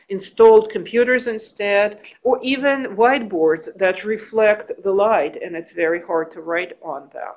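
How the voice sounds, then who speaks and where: A woman whose first language is Russian, giving an academic conference talk, pausing often and restarting phrases. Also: medium pitch. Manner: thoughtful, with frequent restarts and fillers. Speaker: academic presenter. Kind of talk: conference presentation.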